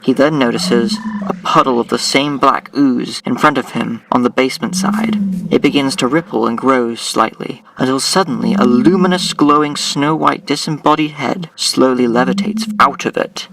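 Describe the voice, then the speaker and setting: A man's voice: mid-range voice, normal speed, vocal fry, fairly bright tone. A man narrating a scripted audio drama. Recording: noisy, distant-miked, with clicks.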